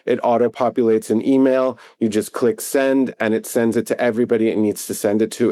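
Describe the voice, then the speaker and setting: A man speaks as a podcast guest, lively and earnest, pressing his listeners to act. His voice sits fairly low. The sound is dry and close. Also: no room sound. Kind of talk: podcast interview.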